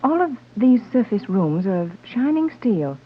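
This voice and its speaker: An actress playing an earnest, motherly, refined woman adventurer in a 1930s radio serial, reasoning gently. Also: mid-range voice, sing-song, unhurried. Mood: uneasy. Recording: muffled, narrow-band old radio recording.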